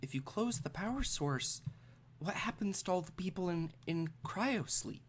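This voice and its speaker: An excitable female companion voiced by a game master in a sci-fi tabletop game. The voice is pitched up, worried and urgent, firing off questions. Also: moderate pace. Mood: worried, pleading. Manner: questioning, urgent, higher than the narrator.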